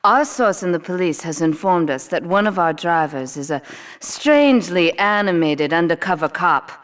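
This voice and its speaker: Icy woman mob boss by an actress, low voice, dry mic, terse orders in a game cutscene. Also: animated, normal speed, dry recording, natural voice. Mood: cold. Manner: cool, terse, dryly mocking. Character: cold, ruthless female crime boss.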